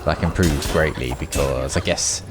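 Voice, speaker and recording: Guy with a low voice chatting casually about a game, rambles a bit, noisy background. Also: moderate pace. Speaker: gaming video host. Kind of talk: casual gameplay commentary. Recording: noisy with clear background noise.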